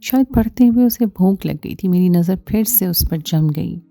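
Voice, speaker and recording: A woman's voice: mid-range voice, animated, normal speed, dark tone, no echo. A woman reading a scripted first-person story as its narrator, with music behind her.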